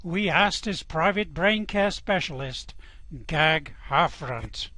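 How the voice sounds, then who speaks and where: Man acting an upbeat sci-fi radio newsreader, showy, fairly high voice, unhurried pace, comic bulletin. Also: lively intonation, bright, forceful tone, no echo, full character voice. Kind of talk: radio news bulletin parody.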